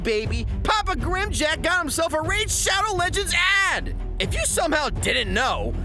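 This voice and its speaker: Very high-pitched, wildly animated male host. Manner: hammy, exclamatory. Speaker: YouTube anime reviewer and host. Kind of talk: energetic scripted comedic narration.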